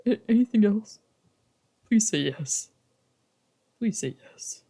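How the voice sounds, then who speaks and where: A woman streamer playing a visual novel, mixing casual, slangy, expressive commentary with reading the game text aloud. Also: mid-range voice, takes it slowly, vocal fry, slightly rough. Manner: expressive, reactive, exclamatory, slangy. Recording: music playing behind the voice.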